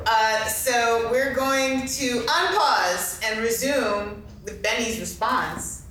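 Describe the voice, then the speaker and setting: A woman's voice: fairly high pitch, slow, a bit bright. A woman moderating a group meeting, prompting others to share. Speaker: meeting chair. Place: large echoey indoor convention room. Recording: echoey room, distant mic, faint noise.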